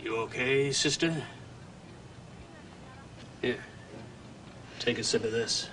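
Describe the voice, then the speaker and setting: An actor playing a terse, laconic hard-boiled private detective in a spoof drama. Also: male voice, medium pitch, takes it slowly, background noise, lightly acted. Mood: cool, steady.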